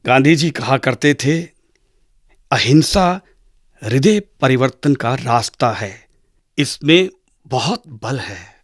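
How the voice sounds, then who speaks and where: A grave middle-aged father in a radio drama. He moralises patiently and slowly, in a dry, close recording. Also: medium pitch, expressive, no room sound. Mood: earnest, pained. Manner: measured, earnest, admonishing.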